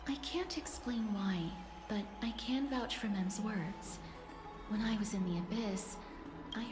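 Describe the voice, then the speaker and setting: A woman voicing a polite, reserved young woman in a fantasy game, soft-spoken, formal, hesitant and wistful. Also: medium pitch, unhurried, echoey room, music in the background, close to an everyday voice. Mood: earnest. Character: gentle, melancholy young female poetry lover, polite and reserved. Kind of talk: fantasy video game acting.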